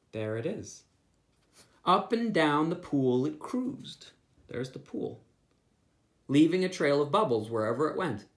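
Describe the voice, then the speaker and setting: A pastor reading a children's book aloud, telling it expressively and asking his young listeners questions. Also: fairly high pitch. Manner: expressive storytelling with asides to listeners.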